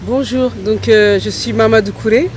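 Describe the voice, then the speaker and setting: A middle-aged woman introducing herself as a guest, speaking in a halting, hesitant way. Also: on the high side, steady tone, slight background noise. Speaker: municipal councillor, teacher.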